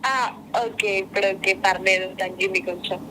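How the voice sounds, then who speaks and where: A woman's voice: mid-range voice, little pitch movement, fairly quick, bright, forceful tone, slight background noise. A woman with a Telangana Telugu accent chatting casually on a phone call. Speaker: guest's wife on a phone call. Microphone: phone call played in the room.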